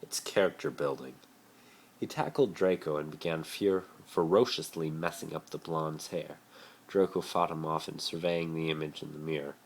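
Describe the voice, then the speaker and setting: A man's voice: very deep voice, fairly even intonation, moderate pace, a little hiss or hum, rough recording. A man doing an amateur dramatic reading of a story, stumbling over words and correcting himself, recorded on a phone. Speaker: amateur reader of fan fiction.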